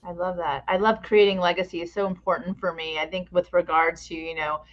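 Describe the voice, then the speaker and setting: A woman's voice: lowish voice, little pitch movement, fairly quick, lo-fi sound. A middle-aged woman hosting a conversational podcast interview, effusive and encouraging, with rambling questions. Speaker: podcast host interviewing a guest.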